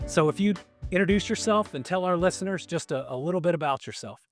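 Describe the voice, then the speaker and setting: A man's voice: high voice, fast talker, faint music behind. A middle-aged man from Kentucky hosting a podcast in earnest, casual conversation, often repeating words as he starts a thought. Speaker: podcast host and state governor.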